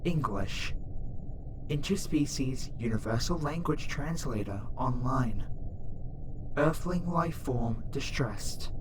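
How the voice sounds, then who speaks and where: A man voicing an impassive robotic spaceship AI, making flat, formal, clinical announcements in an audio roleplay. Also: mid-range voice, slow pace. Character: impassive male-voiced spaceship AI translator robot. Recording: clear background noise, ship-like hum.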